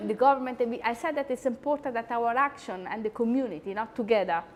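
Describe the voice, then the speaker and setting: Animated Italian woman, high voice, roomy. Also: fast talker, a little hiss or hum. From Italy. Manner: chatty, animated, frequent asides. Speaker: host. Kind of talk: lecture-style talk.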